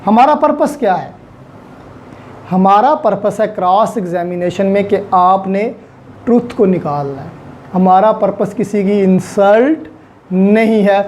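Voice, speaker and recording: Mellow-voiced Pakistani woman giving a lesson. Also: medium pitch, a little slow, a little hiss or hum. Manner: didactic, repeats key points for emphasis. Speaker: law lecturer. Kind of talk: explanatory lecture mixing in English legal terms.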